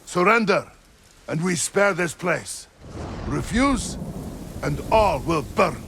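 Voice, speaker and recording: An actor playing a threatening Mongol warrior envoy in a video game, speaking in a gravelly, deliberate, menacing way. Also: male voice, sits high, lively intonation, a bit bright, slight background noise, full character voice. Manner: slow, deliberate, threatening delivery. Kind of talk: video game cutscene acting.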